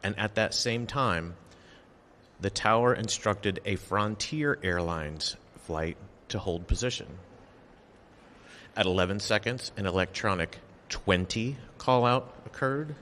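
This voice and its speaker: Low-voiced man in a reverberant hall. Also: a bit bright, a little hiss or hum. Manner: measured, factual, deliberate. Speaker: official giving an investigation briefing. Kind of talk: formal prepared statement read at a press briefing. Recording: long reverberant echo of a hall.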